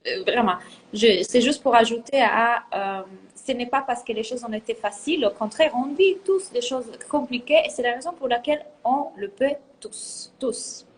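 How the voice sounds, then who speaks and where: A woman's voice: fairly high pitch, normal speed, slight background noise, low-quality recording. A woman guest coach speaking French as a second language, earnest and emphatic, repeating words for stress. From Italian family. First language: not French. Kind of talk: casual talk, motivational.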